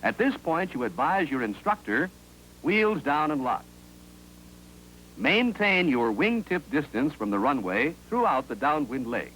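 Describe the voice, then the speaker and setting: An American man reading scripted narration for a 1950s instructional film in a clear, authoritative, instructive manner, on an old film soundtrack. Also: on the high side, very animated, unhurried, fairly bright tone, slight background noise, poor audio.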